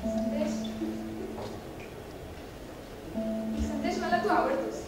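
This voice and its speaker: A woman's voice: high-pitched, moderate pace, close to an everyday voice. A woman acting a feisty, candid young woman in an amateur stage play, speaking in a conversational but projected way. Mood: hesitant, tender. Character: feisty, candid young woman confessing love to a male friend. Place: stage of a one-act play. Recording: very echoey theatre hall, distant mic, music.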